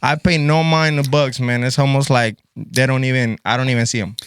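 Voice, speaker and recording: A man's voice: medium pitch, steady tone, a little fast, smooth, no echo, clean studio sound. A man in his forties from Guatemala, chatting on a podcast in a laid-back way and calling everyone "bro" and "man". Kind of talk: casual podcast chat.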